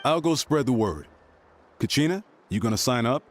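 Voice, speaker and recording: A man plays a confident young warrior in a video game. He has a mid-range voice with lively intonation. The delivery is friendly and purposeful, with clear background noise from the stream. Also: mid-range voice, moderate pace, natural voice. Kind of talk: animated game dialogue.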